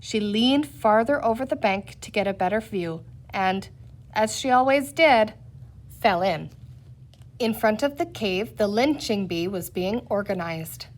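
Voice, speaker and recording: A woman reading a children's adventure story aloud in an expressive, scripted narrating style. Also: medium pitch, measured pace. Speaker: audiobook reader.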